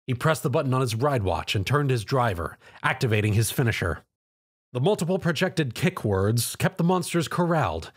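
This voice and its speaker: A man's voice: mid-range voice, breathy edge. A man reading scripted narration of an action story.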